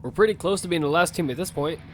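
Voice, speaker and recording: A man's voice: a little high, fast, a little hiss or hum, natural voice. A man playing a battle-hardened survivor who narrates in grim first person and leads his team. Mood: urgent. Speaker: narrator and lead character. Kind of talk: audio fiction narration. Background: music.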